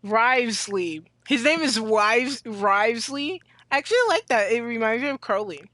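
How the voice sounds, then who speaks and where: Teen girl streamer, bright, higher voice, chatty and loud reactions. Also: expressive, normal speed, no room sound. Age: teens. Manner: chatty, rambling, reacting loudly. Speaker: gameplay streamer. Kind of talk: casual gameplay commentary.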